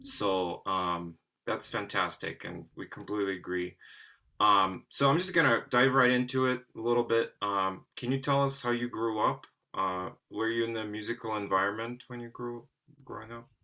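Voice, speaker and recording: A man's voice: lowish voice, steady tone, normal speed, pushed and bright. A man speaking enthusiastically and conversationally as he interviews guests on a video call. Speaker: interviewer, artistic director and clarinetist.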